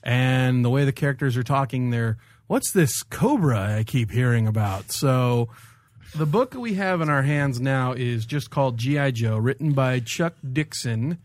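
A man's voice: mid-range voice, lively intonation, measured pace, no echo. A man co-hosting a casual podcast chat in an informal, slangy, joking manner. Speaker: podcast co-host.

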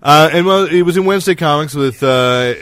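A man's voice: a little high, brisk, a bit bright, clear, resonant tone. A man hosting a podcast, chatting casually and explaining things about comics and films at length. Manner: talkative, explanatory. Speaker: podcast host.

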